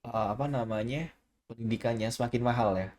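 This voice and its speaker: A man's voice: mid-range voice, fairly even intonation, darkish tone. A man hosting a live video chat stream, bantering and teasing the stranger he talks to. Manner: teasing, quick comebacks. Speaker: streamer host. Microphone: video call.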